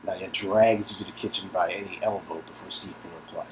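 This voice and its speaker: A man's voice: deep voice, steady tone, fairly quick, slight background noise, low-quality recording. A man reading scripted story narration as the narrator of an interactive game.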